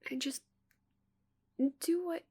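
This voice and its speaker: A woman voicing a shy, curious giant female alien in a soft, breathy ASMR roleplay, with a hesitant, stammering manner. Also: very high voice, takes it slowly. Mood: nervous, pleading.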